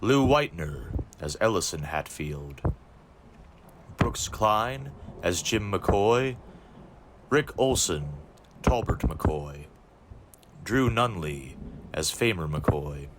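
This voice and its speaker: A man with a fairly low voice reads a scripted announcement. His delivery is slow, measured and formal, with noticeable noise behind him. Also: expressive. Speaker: announcer reading credits. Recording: clear background noise with clicks.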